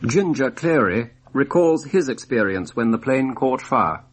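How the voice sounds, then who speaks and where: Male narrator, average pitch, scripted radio documentary links, faint hiss. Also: lively intonation, unhurried. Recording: hissy 1960s radio documentary recording.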